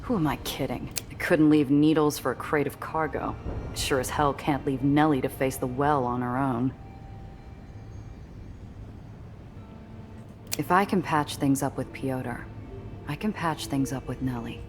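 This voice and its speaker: Noir game lead: extremely low female register, gritty and defiant, dramatic first-person narration. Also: normal speed, a little mellow, faint music behind. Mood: brooding, resolute. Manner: hardboiled, dramatic delivery.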